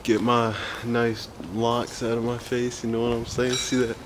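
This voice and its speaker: A young man casually narrating a handheld vlog while exploring an abandoned factory, relaxed and slangy, joking with his viewers. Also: medium pitch, moderate pace, noisy background. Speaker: urban explorer vlogger. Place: abandoned factory, large indoor space. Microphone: handheld camera mic.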